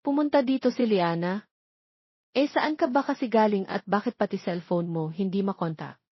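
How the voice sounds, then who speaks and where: A woman's voice: medium pitch, normal speed, husky, no echo, highs cut off, close to an everyday voice. A woman voicing a stern, protective middle-aged mother scolding her grown son in an audio drama. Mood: angry scolding.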